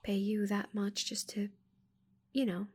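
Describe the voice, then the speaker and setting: A woman voicing a shy, curious giant female alien in a soft, breathy ASMR roleplay, with a hesitant, stammering manner. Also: mid-range voice, completely flat delivery, a little slow. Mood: nervous, pleading.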